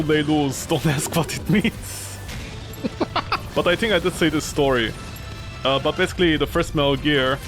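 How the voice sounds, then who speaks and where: A man streaming a game, talking casually in a rambling, swearing way, jumping from loud outbursts to low mumbles; English is not his first language. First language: not English; grew up with French, Italian. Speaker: gaming streamer. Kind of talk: casual let's-play commentary. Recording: game music loud behind.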